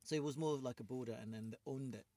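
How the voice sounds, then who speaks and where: A man's voice: mid-range voice, racing, slight background noise. A man with an accent, co-hosting a casual podcast chat, rambling and restarting his sentences. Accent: non-native English accent. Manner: rambling, restarts often, laughs loudly. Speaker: podcast co-host.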